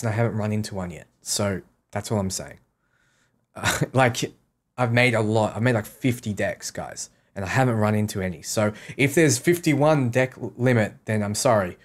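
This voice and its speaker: A man's voice: medium pitch, normal speed. A man streaming a game, casually reading out questions and answering them offhand in short, repeated sentences. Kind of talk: casual livestream Q&A.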